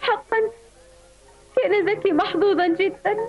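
A woman's voice: very high voice, moderate pace. A woman voicing an earnest, plaintive little boy bee in a dubbed cartoon. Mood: sorry. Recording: dull old TV soundtrack, loud music.

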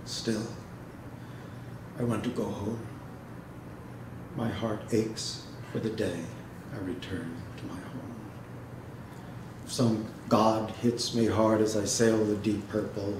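Low-voiced guy doing a literary reading to an audience, measured with pauses, roomy sound, noisy recording. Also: flat delivery. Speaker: translator giving a reading. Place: indoor hall, seated before an audience. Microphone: microphone on a stand, recorded by a distant camera. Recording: echoey room, noisy background.

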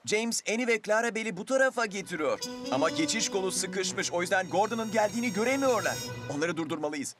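An animated, modest heroic train. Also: male voice, high voice, fast. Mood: urgent. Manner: polite, modest, expressive. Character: modest, friendly heroic male cartoon steam engine from China. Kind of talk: animated children's series dubbing. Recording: music bed under studio voice.

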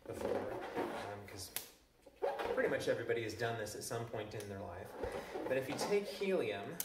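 A man in his thirties explains a chemistry demonstration in a relaxed way, pausing often on ums. His voice sits low and comes from a camera some distance away. It rings around a big echoey room with a faint hiss behind it. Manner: relaxed explaining with frequent ums, handling balloons. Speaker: chemistry teacher. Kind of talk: classroom demonstration. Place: large living room with a stone wall, indoors.

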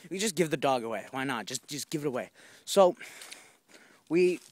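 A man's voice: a little high, lively intonation, normal speed, no room sound. Young man rambling on a forest walk. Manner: rambling, profane, full of fillers and restarts. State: walking on a trail. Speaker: vlogger. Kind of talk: rambling walk-and-talk storytelling. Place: wooded trail outdoors. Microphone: handheld phone camera while walking.

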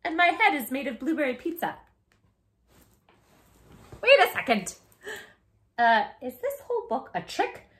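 Woman doing kids storytime, high voice, takes her time, super theatrical and silly. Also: very animated, measured pace, pauses often, fairly bright tone. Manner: theatrical, exaggerated silly delivery. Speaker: children's storytime host. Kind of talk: animated children's book read-aloud. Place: small living room, indoors on a sofa.

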